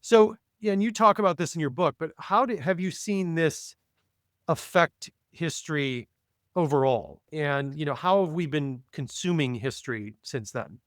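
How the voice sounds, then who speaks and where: A man hosting a podcast who reads a guest introduction and then talks with his guest in an upbeat, chatty way. His voice sits a little high for a man. The sound is close and dry, with no room around it. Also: moderate pace, a bit bright, no room sound. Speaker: podcast host. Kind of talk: casual podcast interview.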